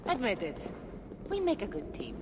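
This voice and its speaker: A woman's voice: medium pitch, huge pitch swings, normal speed. An actress playing a determined, weary lone warrior woman in a video game, speaking earnestly and emphatically. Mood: warm, reflective. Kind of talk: dramatic video game cutscene acting. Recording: echoing game scene with clear ambient noise.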